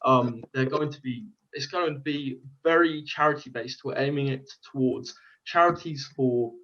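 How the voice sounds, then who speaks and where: A man's voice: mid-range voice, normal speed, fairly bright tone. Chatty Irish man, muffled audio. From Ireland. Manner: chatty, jokey, enthusiastic. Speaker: guest, media company co-founder. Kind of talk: casual interview chat. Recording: dull lo-fi audio.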